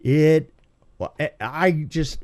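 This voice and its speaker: Middle-aged male podcast guest, slightly high voice, informal storyteller who doubles back on his phrases for emphasis. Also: slow pace. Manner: animated retelling with repeated phrases and acted-out quotes. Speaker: film effects veteran, podcast guest. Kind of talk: casual anecdotal storytelling.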